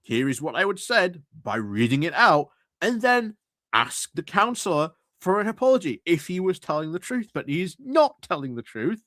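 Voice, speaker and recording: A man's voice: a little high, very animated, normal speed, a bit bright, no echo. A man doing live reaction commentary over council footage, sarcastic and laughing at what he hears.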